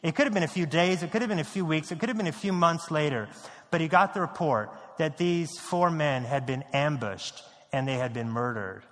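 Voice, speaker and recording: A male pastor from the United States preaching a sermon, earnest, repeating key lines and dropping low and quiet on grave points. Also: brisk, in a large hall. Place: church sanctuary.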